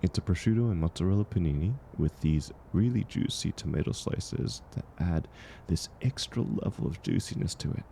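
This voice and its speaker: A man acting a kind, very low-voiced gentle stranger. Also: animated, gravelly, slight background noise. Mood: caring, encouraging. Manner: soft-spoken, reassuring, unhurried. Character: kind, gentle man, once homeless, sharing dinner with a stranger. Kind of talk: intimate audio roleplay acting, speaking to the listener.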